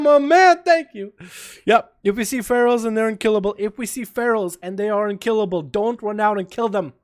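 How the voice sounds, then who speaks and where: A man's voice: very high-pitched, clear, resonant tone. A young man from Russia with a slight Russian accent, hosting a live game stream and hollering and swearing as he thanks viewers.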